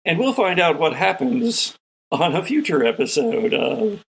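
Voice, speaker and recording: A man's voice: sits high, big pitch swings, a little slow, gravelly, a little hiss or hum. A man narrating a tabletop game as its game master, dramatically setting each scene. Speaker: game master, podcast host.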